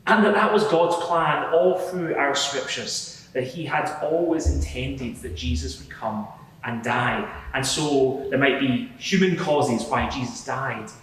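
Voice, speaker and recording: Guy acting earnest rabbi witness in courtroom drama, halting explainy delivery, super echoey and far from mic. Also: medium pitch, fairly bright tone, natural voice. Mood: earnest, reflective. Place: large reverberant courtroom before a live gathering. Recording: echoey hall, distant mic, faint noise.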